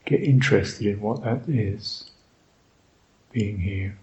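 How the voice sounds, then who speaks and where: A man guiding a meditation as a Buddhist teacher, speaking reflectively in short, halting phrases with long pauses. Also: lowish voice, darkish tone, dull audio. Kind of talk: guided meditation, unscripted and reflective.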